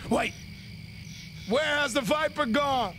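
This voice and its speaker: An actor playing a gleeful, theatrical villain who commands monsters and gives melodramatic, sinister commentary on a wrestling match in a video game. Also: male voice, very high-pitched, noisy background, heavily acted. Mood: gloating, dramatic. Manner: melodramatic, sinister, cackling, drawn-out exclamations.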